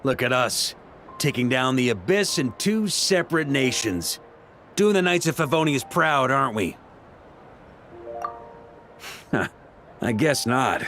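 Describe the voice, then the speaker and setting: A man playing an easygoing, hearty knight commander who loves a drink, in a relaxed, conversational video game performance. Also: medium pitch, a little slow, faint music behind. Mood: proud, reflective.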